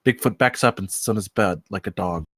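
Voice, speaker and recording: A man's voice: lowish voice, a little fast, a bit bright, dry recording, clean studio sound. A man narrating scene headings and action from a film script at a table read. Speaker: narrator of stage directions.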